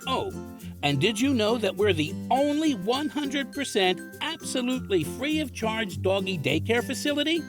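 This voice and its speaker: A man's voice: extremely high pitch, sing-song, normal speed. A man playing a cheery, not-quite-human dog daycare owner in an exaggerated local commercial, over music. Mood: upbeat salesy. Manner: salesy, exaggerated swooping emphasis. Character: cheery Mister King, alien dog-daycare owner doing an ad. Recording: music bed under the voice.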